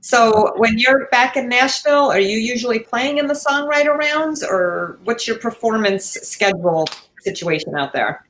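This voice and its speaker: A woman's voice: a little high, animated, normal speed, a bit bright, a little hiss or hum, low-quality recording. A woman co-hosting a casual podcast, chatting in an upbeat, agreeable way. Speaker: podcast co-host.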